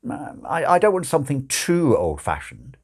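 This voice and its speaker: A man's voice: medium pitch, huge pitch swings, moderate pace, breathy edge, pitched above the performer's own voice, full character voice. A man voicing a hesitant, opinionated and conventional English father in an audiobook. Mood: doubtful. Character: Mister Young, doubtful, conventional English father.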